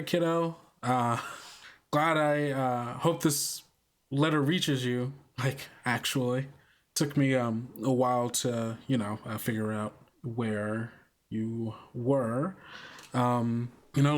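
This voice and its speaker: Awkward, luckless drifter played by a man, mid-range voice, halting delivery, improvised roleplay. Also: mid-range voice, close to an everyday voice. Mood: awkwardly upbeat. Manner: halting, hesitant. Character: awkward, down-on-their-luck drifter writing to a loved one.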